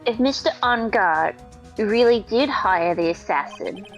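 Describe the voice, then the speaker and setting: A woman's voice: medium pitch, animated, unhurried, soft background music, rough recording. A woman voicing a sweet, anxious little girl in a video game dub, with a timid, hesitant manner. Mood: worried.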